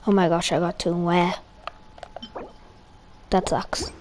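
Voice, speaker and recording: A woman's voice: on the low side, level tone, takes it slowly, darkish tone, a little hiss or hum. A woman chatting casually while she plays a video game, as a content creator. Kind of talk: casual gameplay chat.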